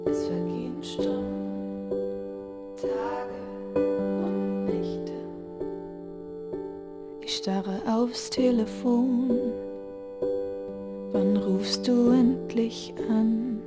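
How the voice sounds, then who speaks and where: Female ballad vocalist, high register, drawn-out slow phrasing, full musical backing. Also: over loud music. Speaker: singer. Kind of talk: slow sung pop ballad. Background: musical accompaniment.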